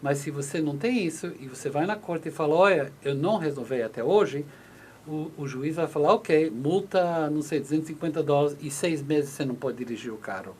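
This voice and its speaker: A man's voice: on the high side, brisk, darkish tone, a little hiss or hum. A man, a lawyer on a radio call-in show, explaining patiently and acting out short exchanges, with many restarts. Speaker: lawyer answering listener questions. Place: radio studio in the US.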